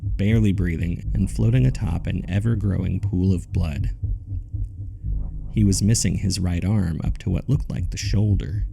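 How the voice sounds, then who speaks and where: A man narrating a horror audio drama as a grave witness, in a deliberate, somber storytelling manner. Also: low voice, creaky, warm tone, a little hiss or hum, low-quality recording.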